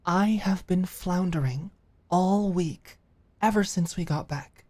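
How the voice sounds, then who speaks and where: A woman playing an earnest, caring office manager in an intimate ASMR roleplay, speaking haltingly and with open emotion. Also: fairly low pitch. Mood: earnest, tense. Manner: halting, sighing, emotionally expressive.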